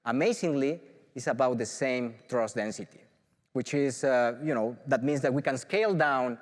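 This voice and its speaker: A man's voice: medium pitch, moderate pace, in a large hall. A middle-aged man from Mexico with a Mexican Spanish accent, giving an enthusiastic conference talk as a professor, with many "uh"s and restarts. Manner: enthusiastic, filler-heavy with frequent restarts. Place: large auditorium.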